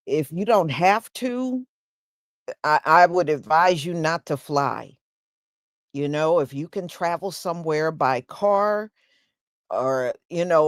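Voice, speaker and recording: Slow-talking American woman, casual and emphatic. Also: lowish voice, darkish tone, no room sound, studio quality. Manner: colloquial, emphatic, addressing viewers directly. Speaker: host commentator. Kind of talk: casual opinion commentary.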